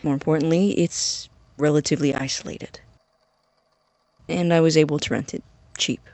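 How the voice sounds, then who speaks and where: Actor doing young secretive science student, eager n earnest, kinda halting, audio drama, dry sound. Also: mid-range voice, steady tone, a little mellow, no echo, lightly acted.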